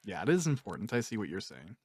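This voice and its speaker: A man's voice: lowish voice, sing-song, fast talker, darkish tone, husky, no room sound, clean studio sound. A man hosting a casual interview, talking in an informal, rambling way as he thinks aloud. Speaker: interviewer, podcast host.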